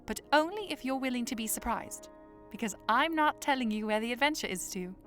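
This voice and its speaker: An actress playing a young princess who is playful, teasing and self-assured in an audio drama. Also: female voice, on the high side, expressive, brisk, fairly bright tone, soft background music. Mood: confident, teasing.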